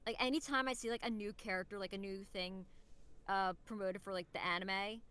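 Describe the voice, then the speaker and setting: A young woman with a very bright, forward voice talks as a podcast guest. She is casual and eager, rambling through her thoughts and dropping "like" in often. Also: mid-range voice, steady tone, a little fast, clear, resonant tone. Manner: enthusiastic, rambling, peppered with "like".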